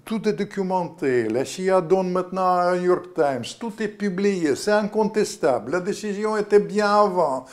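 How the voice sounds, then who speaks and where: Rambling older man, high-pitched. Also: expressive, moderate pace, few pauses. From Russian-Ukrainian roots. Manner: rambling, many restarts and fillers. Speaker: guest, author. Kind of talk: interview.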